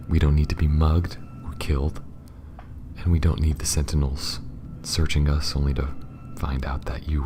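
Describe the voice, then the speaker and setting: A man voicing a guarded but protective alien smuggler in an ASMR audio drama, speaking softly and hesitantly to one listener, often near a whisper. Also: very deep voice, background noise.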